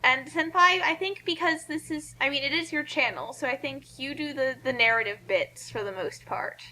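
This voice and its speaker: A woman's voice: high-pitched, normal speed, pushed and bright. A woman co-hosting a read-along in a casual, chatty, explanatory way. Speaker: co-host reading fan fiction aloud. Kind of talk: casual banter and commentary.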